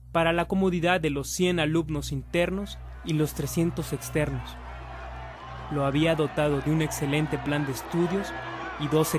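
An actor playing an earnest, reflective young seminarian in a radio drama. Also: male voice, a little high, lightly acted. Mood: narrating. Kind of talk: radio drama acting and book narration.

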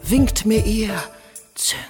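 A woman performing brooding romantic verse in a radio play, in a deliberate, lyrical style set to music. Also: a little high, steady tone. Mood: ominous. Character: female reciter of a brooding romantic empress's verse. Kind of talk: slow poetic recital set to music.

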